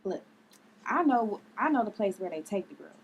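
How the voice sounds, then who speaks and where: A woman's voice: mid-range voice, big pitch swings, moderate pace, highs cut off, slight background noise. A woman at a table read playing a brash, bossy, street-talking vigilante sister. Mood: determined.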